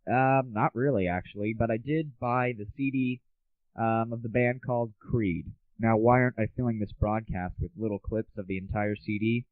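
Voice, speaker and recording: A man's voice: lowish voice, no echo. A man hosting a casual solo show in an informal, self-deprecating way on a cheap home microphone. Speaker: show host. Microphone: cheap malfunctioning home microphone. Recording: tinny lo-fi home recording, faulty microphone.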